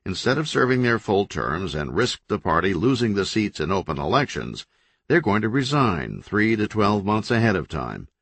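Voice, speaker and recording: A blunt, commanding governor, as a male narrator voices him in his own low, dry voice. He talks with tight control and shifts into profane orders, with a lively rise and fall of pitch in an audiobook reading. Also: normal speed, no room sound, heavily acted. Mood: cold, even. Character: hot-tempered male state governor, forceful.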